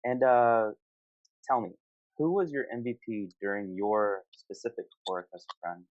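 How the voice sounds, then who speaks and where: A man with a lowish voice moderates a gaming discussion in an informal, affirming way. He asks his guests questions casually. The audio is somewhat dull and lo-fi, like an online voice call. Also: lively intonation, a little slow. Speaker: host of a gaming discussion panel.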